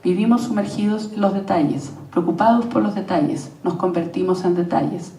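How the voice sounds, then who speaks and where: A Chilean playwright and actress reading a prepared text at a panel, measured and reflective, pausing through long lists. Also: female voice, lowish voice, mellow, distant mic. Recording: echoey room, voice from off-mic.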